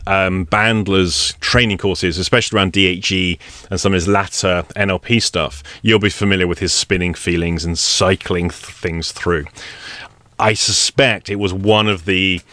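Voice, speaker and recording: A man giving relaxed spoken instruction on a meditation practice, explaining it step by step in a wry, conversational way. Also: sits low, dry recording. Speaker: meditation teacher.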